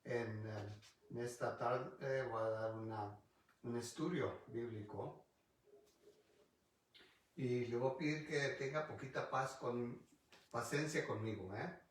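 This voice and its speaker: A male pastor whose first language is English, teaching a Bible study in Spanish, halting and restarting often as he looks for words. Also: medium pitch. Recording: distant mic in a very echoey room.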